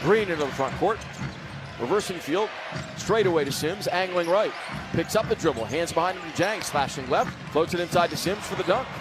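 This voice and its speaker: A man's voice: a little high, expressive, brisk, background noise. A man with an American accent calling basketball live on radio as play-by-play announcer, in clipped vivid phrases full of catchphrases. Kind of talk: fast live radio sports commentary.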